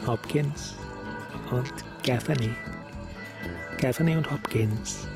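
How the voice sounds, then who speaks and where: Slow, brooding investigator. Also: male voice, mid-range voice. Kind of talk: radio drama acting. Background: music.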